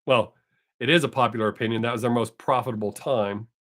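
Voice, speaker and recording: A man's voice: medium pitch, steady tone, fast talker, a bit bright. Chatty older man with a drawl. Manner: rambling, self-correcting, chatty. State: voice not fully recovered. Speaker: live stream host. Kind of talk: casual chat with viewers.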